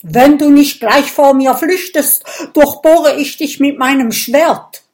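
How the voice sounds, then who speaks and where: A woman voicing a burly, blustering male Viking who is proud and quick to tears, with booming exclamations. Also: expressive. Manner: booming exclamations, quavering when upset.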